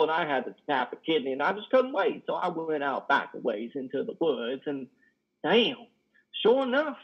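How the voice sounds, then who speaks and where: A man acts out a lonely, wounded trucker in a stage drama, higher-voiced and husky. He talks in halting, folksy, simple sentences, weighed down by guilt, over a muffled video call. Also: heavily acted. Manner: halting, stammering, folksy ungrammatical speech. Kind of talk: dramatic play reading. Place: indoor room on a video call. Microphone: headset.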